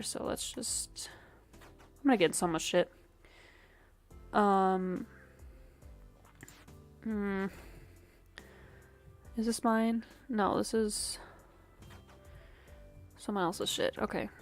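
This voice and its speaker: A woman streamer with a sniffly, dry throat, casually thinking aloud and swearing while she plays a game. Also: medium pitch. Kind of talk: casual game livestream commentary.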